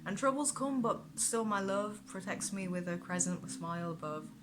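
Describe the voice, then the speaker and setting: A woman voicing a resourceful male adventurer who thinks aloud in a dark fantasy audiobook. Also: medium pitch, lively intonation, normal speed, background noise, close to an everyday voice. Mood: chanting. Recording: music behind the voice.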